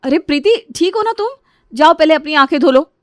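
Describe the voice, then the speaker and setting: A woman voicing a dutiful, traditional elder sister-in-law who explains gently and reassuringly in a family story. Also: high voice, animated, brisk, no echo, clean studio sound, voice pushed up. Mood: concerned. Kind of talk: acted Hindi family story dialogue.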